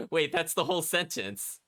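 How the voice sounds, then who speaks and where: Animated, bright-voiced male game streamer. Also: a little high, measured pace, vocal fry. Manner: chatty, often commenting as an aside. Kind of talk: casual livestream commentary, reading game text aloud.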